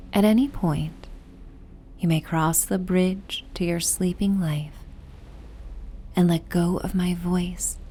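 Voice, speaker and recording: A woman narrating a soothing sleep meditation and bedtime story in a gentle, soft, half-whispered, breathy voice. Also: fairly low pitch. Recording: steady ocean and wind ambience noise. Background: ocean and wind ambience.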